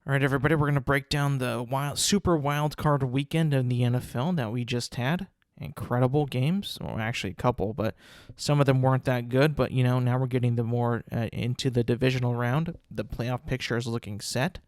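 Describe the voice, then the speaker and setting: Brief: young male podcast host, stuffy nose, loose rambling delivery full of fillers, mid-pitched voice, dry close sound. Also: steady tone, a little fast, few pauses, dry recording. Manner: rambling, many fillers and restarts. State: congested, sniffling. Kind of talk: casual solo podcast talk.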